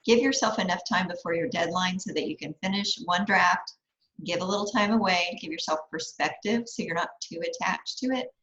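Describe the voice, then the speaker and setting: A woman's voice: fairly low pitch, a little fast, a bit bright. A woman on a podcast panel giving conversational advice about writing. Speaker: podcast panelist and fiction writer. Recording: dull, echoey room sound.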